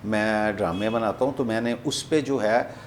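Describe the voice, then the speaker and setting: A man with a Pakistani accent making a prank phone call, rambling and repeating himself in deliberately confusing improvised banter. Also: on the low side, a little hiss or hum. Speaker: prank caller hosting a comedy show.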